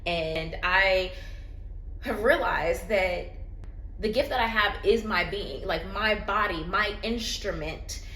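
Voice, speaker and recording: A woman's voice: medium pitch, slow, sharp tone. Earnest woman vlogging in an echoey room. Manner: earnest and reflective. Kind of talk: candid personal vlog talk. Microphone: phone. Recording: roomy echo, faint background noise.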